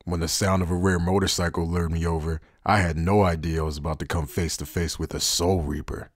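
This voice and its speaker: A man playing a cool, gruff, laid-back outlaw fighter in an anime fan drama. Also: sits low, flat delivery, a little fast. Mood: cool, curious.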